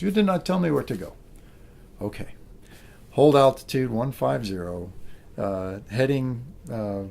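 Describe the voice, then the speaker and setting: Middle aged american military guy giving a talk, gravelly fry in his voice, animated storyteller. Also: medium pitch. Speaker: military officer and helicopter pilot giving a talk. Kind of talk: anecdotal public talk to a room.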